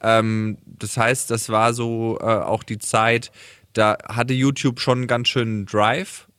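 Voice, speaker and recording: A mid-pitched man hosting a relaxed interview, recorded dry and close. He asks his guests questions eagerly and slips English phrases into his talk. His tone is playful and informal. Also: takes it slowly, clean tone, no room sound. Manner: lively, mixes in English phrases. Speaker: host. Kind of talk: casual interview.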